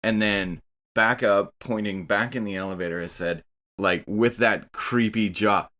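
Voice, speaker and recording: Low-voiced man playing a tabletop game. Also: fairly even intonation, unhurried, sharp tone. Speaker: player in a tabletop roleplaying game. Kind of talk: casual, descriptive game-table roleplay.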